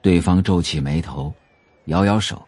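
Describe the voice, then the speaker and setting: A man narrating a novel for an audio drama in a measured, atmospheric storytelling manner. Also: sits low, darkish tone, husky, dry recording.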